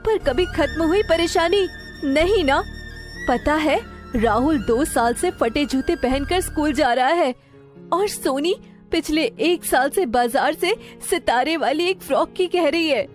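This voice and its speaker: A woman's voice: high-pitched, lively intonation, unhurried, faint music behind, pitched above the performer's own voice. A woman voicing a weary, reproachful poor farmer's wife in a storybook tale, complaining plaintively.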